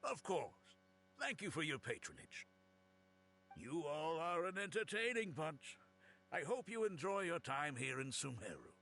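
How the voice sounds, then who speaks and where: Eager, bright-voiced souvenir shopkeeper. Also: male voice, fairly high pitch, very animated, measured pace, creaky, a little hiss or hum, exaggerated voice. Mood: grateful. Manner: courteous, salesman-like. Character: friendly, eager market souvenir shopkeeper, adult man. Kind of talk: video game voice acting, sales patter.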